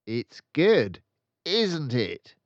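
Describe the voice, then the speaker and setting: A man voicing a tyrannical, bullying headmistress in a storybook, shouting and drawing out commands. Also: medium pitch, wildly swooping pitch, no echo, dull audio, voice pushed up, heavily acted. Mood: sharp, menacing. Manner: shouting, drawn-out commanding delivery. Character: Trunchbull, tyrannical bullying headmistress, loud and menacing woman.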